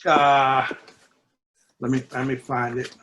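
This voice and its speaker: A man, speaking in a measured, deliberate way with pauses, discussing and reading poetry. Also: mid-range voice, flat delivery, a bit bright, a little hiss or hum. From New Orleans, United States. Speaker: book editor and poetry reader.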